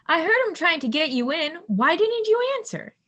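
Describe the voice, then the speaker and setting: Woman playing a high, bright, bossy teasing wife. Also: very animated, full character voice. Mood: teasing, probing. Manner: teasing, brisk, commanding. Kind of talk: amateur audio drama acting.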